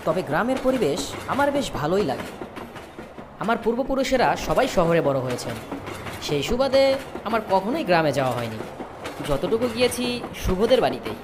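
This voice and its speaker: Woman as a young storyteller, animated intonation. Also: mid-range voice, a little fast, pauses often, noisy background, close to an everyday voice. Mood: reflective. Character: polite young city student narrating a journey. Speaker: narrator. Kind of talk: scripted first-person story narration. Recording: prominent music behind the voice.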